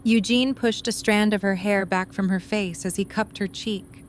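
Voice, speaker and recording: Female narrator for scripted story narration; medium pitch, slight noise on the track. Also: steady tone, moderate pace.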